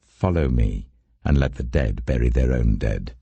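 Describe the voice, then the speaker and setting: A British man voicing a calm, authoritative holy teacher in a scripture audiobook, speaking gravely and deliberately. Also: rumbling low, no echo, highs cut off, voice pushed down, exaggerated voice.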